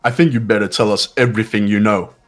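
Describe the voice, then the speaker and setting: A man plays a coolly analytical student in a visual novel game. His voice sits fairly low, composed and deliberate with an air of command, over soft music. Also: fairly even intonation, lightly acted. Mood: stern, reasoning. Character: cool, commanding, analytical teenage male student.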